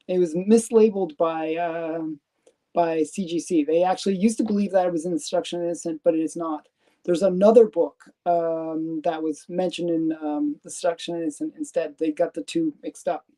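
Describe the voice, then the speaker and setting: A Canadian woman with a Canadian accent, talking casually in a relaxed, rambling way. Her voice is warm and fairly low, and she stops and starts a lot with "um" and "uh". She often laughs at herself as she goes. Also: low-quality recording. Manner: rambling, halting, many fillers and restarts. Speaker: comic collector host. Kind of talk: casual live stream show-and-tell.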